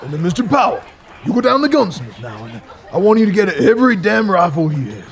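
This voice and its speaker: An Old West sheriff with a high, creaky voice and a drawl. He blusters and hesitates in a folksy way, swinging widely in pitch as he makes his speeches for an audio drama. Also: sing-song, a little fast. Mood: rousing. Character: blustering, hesitant small-town western sheriff rallying a posse. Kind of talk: western audio drama acting.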